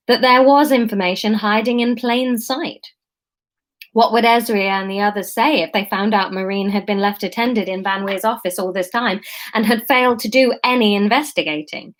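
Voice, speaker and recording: A woman reading her serialized story aloud, chatty and casual, often pausing to comment on it and correct herself. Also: medium pitch.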